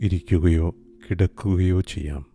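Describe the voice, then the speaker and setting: A man guiding a meditation in a calm, soft, hushed way. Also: deep voice, normal speed, gravelly. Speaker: meditation guide. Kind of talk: slow guided meditation. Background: soft music.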